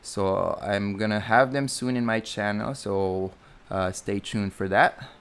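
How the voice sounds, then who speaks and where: A man's voice: on the low side, unhurried, a bit bright, clear, resonant tone. A young man with a Filipino accent, a flight instructor rambling through a live Q&A stream and often correcting himself. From Philippines. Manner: chatty, rambling, self-correcting with many fillers.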